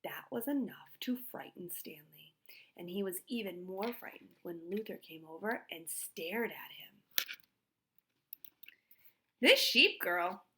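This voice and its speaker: A woman reading a children's book aloud in an expressive storytelling style. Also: fairly low pitch, slight background noise. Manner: pauses to put questions to young listeners. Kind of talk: expressive read-aloud narration.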